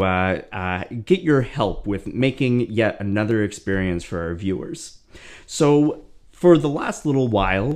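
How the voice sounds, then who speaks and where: A man's voice: lowish voice, darkish tone. A man hosting a casual interview, encouraging his guest and phrasing things hesitantly. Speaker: host.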